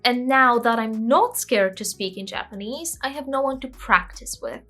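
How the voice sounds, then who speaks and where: A woman's voice: fairly high pitch, normal speed, fairly bright tone, faint music behind. A woman in her twenties from Bulgaria, a native Bulgarian speaker, narrating a scripted vlog in a candid, self-deprecating way. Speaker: language-learning vlogger.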